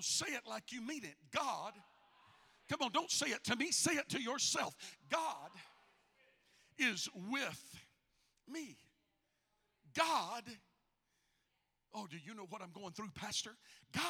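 A male pastor delivers a fervent, exhorting sermon in a very high-pitched voice. His tone is gravelly and raspy, heavy with creak. He leans hard on repeated phrases for emphasis. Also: sharp tone. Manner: emphatic, repetitive, exhorting delivery with dramatic swells. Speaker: pastor preaching to a congregation. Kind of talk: fervent Pentecostal-style sermon.

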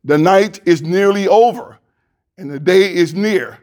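A man preaching as a pastor, praying and reading scripture in a fervent, deliberate way with a loud voice, into a handheld mic in a church. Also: high-pitched. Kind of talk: sermon with prayer and scripture reading. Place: church sanctuary. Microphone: handheld microphone.

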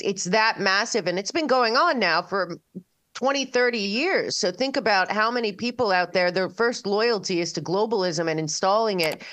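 A woman's voice: mid-range voice, a bit bright. A woman hosting a show, giving conversational political commentary in an emphatic, rambling way. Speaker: show host.

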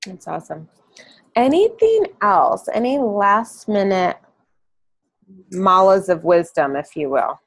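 A woman hosting a podcast in a soft-spoken, reflective way, often pausing with "um". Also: mid-range voice, very animated, creaky, no room sound. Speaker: podcast host. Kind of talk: casual interview chat.

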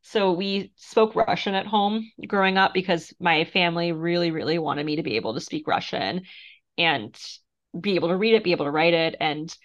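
A woman in her thirties from Moldova, a guest author talking thoughtfully in an interview with soft laughs and fillers. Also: on the low side, moderate pace. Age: 30s. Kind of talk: casual interview conversation. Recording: muffled lo-fi sound.

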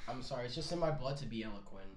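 A man's voice: medium pitch, expressive, racing, a little hiss or hum. A man guesting on a casual podcast, joking and mock-apologizing. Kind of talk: casual chat. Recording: echoey room.

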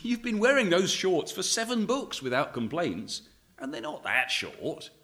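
An actor playing a touchy, defensive adventure novelist in a radio play, theatrical in narration and dry when indignant. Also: male voice, fairly high pitch, big pitch swings, a little slow, fairly bright tone.

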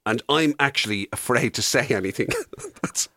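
Bright, lively Irish man, close dry sound. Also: medium pitch, normal speed, dry recording. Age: middle-aged. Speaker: radio show co-host. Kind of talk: casual comic banter.

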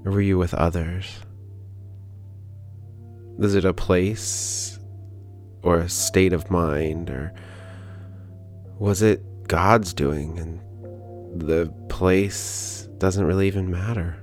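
A man leading a guided prayer meditation in a calm, gentle, unhurried manner with pauses. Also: low voice. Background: background music.

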